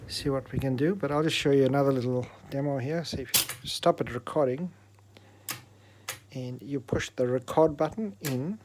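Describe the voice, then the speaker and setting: Male presenter, informal hands-on tech demo, explains methodically in a mid-pitched voice. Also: a little mellow, slight background noise. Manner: explanatory, step by step. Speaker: presenter of an electronics repair demo. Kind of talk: informal technical demonstration.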